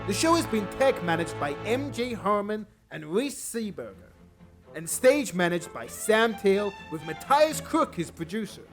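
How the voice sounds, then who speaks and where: High-pitched male announcer over music. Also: sing-song, a little slow. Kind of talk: scripted radio drama credits announcement.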